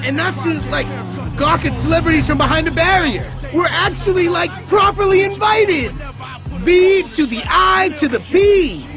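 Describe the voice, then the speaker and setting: An actor playing a bratty, entitled young American rich kid in a video game, boasting loudly in slang. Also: male voice, very high voice, lively intonation, moderate pace, a crowd in the background. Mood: boastful. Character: bratty, entitled young son of a movie producer. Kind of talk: video game cutscene acting. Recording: music behind the voice.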